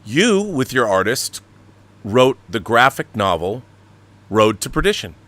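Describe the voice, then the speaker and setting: Man, bright forceful tone, mid pitch, deliberate interviewer building up to questions. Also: sing-song. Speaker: host, interviewer. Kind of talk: conversational interview.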